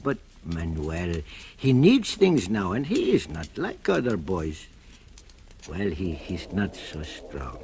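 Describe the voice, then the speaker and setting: Gravelly, gentle old shoemaker. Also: male voice, low-pitched, huge pitch swings, slow, a little hiss or hum. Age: older. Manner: gentle, fatherly, soft chuckles. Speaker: narrator and lead, a shoemaker father. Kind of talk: 1940s radio acting.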